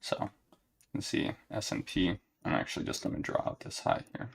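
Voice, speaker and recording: A young man giving live trading commentary to his chat in a relaxed, monotone way, thinking aloud and often checking in with a "right?". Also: fairly quick, gravelly, slight background noise. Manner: monotone, relaxed, low-key. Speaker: day trader streaming live.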